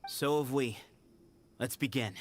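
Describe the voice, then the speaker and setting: A man's voice: medium pitch, unhurried, slight background noise, close to an everyday voice. An actor playing a cool, cheeky young male duelist in a video game, speaking with easy confidence. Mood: confident.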